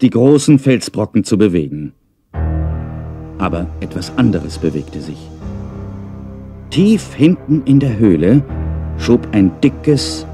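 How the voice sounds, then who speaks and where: A man's voice: fairly low pitch, exaggerated voice. A middle-aged man narrating a children's story in a playful way, with wry, chatty asides.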